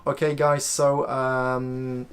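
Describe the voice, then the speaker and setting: A man's voice: mid-range voice, completely flat delivery, extremely slow, clean tone. A man from Poland with a Polish accent, answering viewer questions on a live stream in a loose, chatty way full of fillers and restarts. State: sweating, warm room. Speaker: host.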